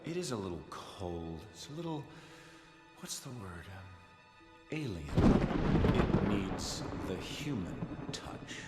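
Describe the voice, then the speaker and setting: An actor playing a gloating, sardonic megalomaniac villain in a video game, theatrical and smug, with music behind him. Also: male voice, sits low, big pitch swings, slow, echoey room, big-room sound, exaggerated voice. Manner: theatrical, smug, swinging from hushed to shouting. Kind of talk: video game cutscene acting.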